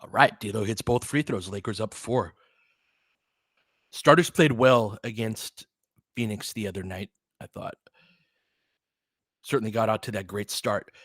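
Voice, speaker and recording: A man's voice: fairly low pitch, measured pace, long pauses, fairly bright tone, no echo, studio quality. A man hosting a basketball stream, giving casual play-by-play mixed with chat in a conversational, slangy way with clipped phrases.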